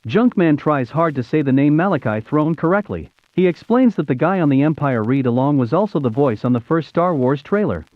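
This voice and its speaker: American guy in his 50s, lively storybook style narrator, higher voice, sounds thin like a phone. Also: brisk, hardly any pauses. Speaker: YouTube show host. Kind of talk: scripted nostalgic narration in read-along storybook format. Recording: tinny phone-like narrow-band sound.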